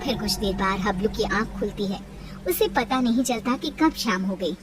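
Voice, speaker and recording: A woman's voice: medium pitch, normal speed, very noisy. A woman narrator reading a scripted children's spooky story. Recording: slightly distant voice under clear music. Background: background music.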